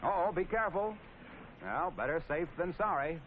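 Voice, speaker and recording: Old-timey american guy doing 40s film narration, wry and super lively, scratchy phone-like sound. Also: a little high, normal speed. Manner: wry, mock-folksy, playfully sarcastic. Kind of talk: 1940s wartime training film narration. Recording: scratchy, tinny 1940s film soundtrack with noise.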